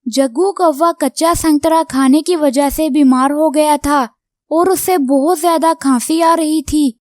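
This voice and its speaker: A woman's voice: sits high, steady tone, measured pace, a little mellow, no echo, clean studio sound. A woman reading scripted narration for a children's cartoon story.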